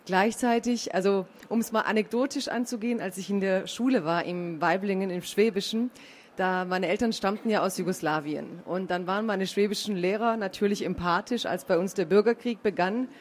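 A woman speaking as a panel guest, telling relaxed anecdotes. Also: medium pitch, normal speed, slight background noise.

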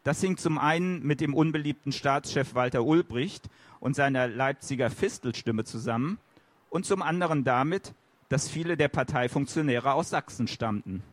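A journalist reading an essay aloud to an audience in a plain, steady voice. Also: male voice, medium pitch, moderate pace, dry recording.

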